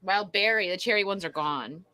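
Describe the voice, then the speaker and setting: A woman's voice: mid-range voice, moderate pace, crowd noise. A woman with a Buffalo, New York accent chatting casually as co-host of a livestream. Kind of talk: casual chat.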